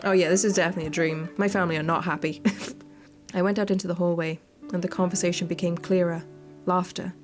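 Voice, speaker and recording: A woman's voice: fairly low pitch, flat delivery, fast talker, few pauses. Woman reading a horror game aloud. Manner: sweary, unscripted asides. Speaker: gaming video host. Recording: faint music behind the voice. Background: game music.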